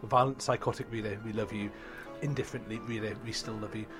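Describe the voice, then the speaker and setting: A soft-spoken man hosting a casual book show chat, hesitant and full of fillers. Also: on the low side, fairly even intonation, fast talker, faint music behind. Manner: hesitant, filler-heavy, self-deprecating. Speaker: podcast host.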